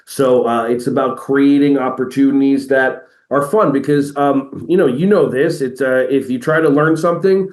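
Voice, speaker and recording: A man speaking as an educator and motivational speaker in a podcast interview, energetic and playful, often slipping into quoted voices. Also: medium pitch, normal speed, few pauses, reverberant. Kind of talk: animated conversational interview.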